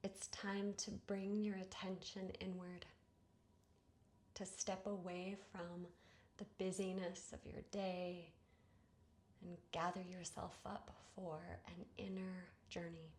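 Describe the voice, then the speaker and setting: A woman guiding a meditation in a soothing, hushed manner, with breathy phrase endings and long pauses. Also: on the low side, flat delivery, a little hiss or hum. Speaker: yoga nidra guide. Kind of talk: guided meditation narration.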